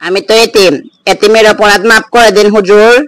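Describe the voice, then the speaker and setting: Guy doing a cheeky cartoon troublemaker, high sharp voice, talks back real quick. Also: steady tone, no echo. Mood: cheeky, pleading. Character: cheeky male defendant Boltu, joking back at authority. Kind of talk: comedy cartoon joke skit dialogue.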